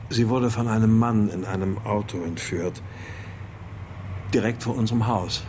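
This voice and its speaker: A man's voice: on the low side, steady tone, normal speed, a little mellow, slight background noise, close to an everyday voice. An actor playing a subdued, grieving father, terse and hesitant, in radio drama style. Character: subdued, grieving father searching for his missing daughter.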